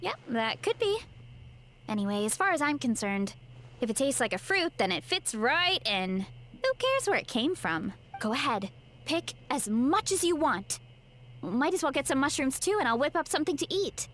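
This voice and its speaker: A woman's voice: sits high, sing-song, moderate pace, a bit bright. A woman voicing a friendly young woman from a fruit farm, talking in a chatty, easygoing way in a game. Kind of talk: game voice acting. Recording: game audio with faint music.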